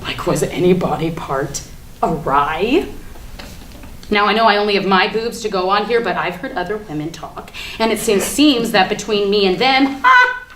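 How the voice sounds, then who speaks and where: A woman's voice: mid-range voice, expressive, normal speed, close to an everyday voice. A woman performing a theatrical monologue as a defiant, ranting everywoman, sardonic and emphatic in her delivery. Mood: taunting, confiding. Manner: sardonic, emphatic, swinging from hushed confiding to outraged ranting. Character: ranting, defiant everywoman gleefully confessing she killed Barbie. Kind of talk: theatrical monologue at a staged reading. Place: live staged reading in a room. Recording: echoey room with background noise.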